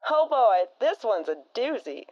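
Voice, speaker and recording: A blunt frontier woman with a folksy drawl who co-hosts a radio talk show, played by an actress. Her voice is a touch high. The recording sounds slightly muffled and lo-fi. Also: sing-song, unhurried. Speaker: radio co-host.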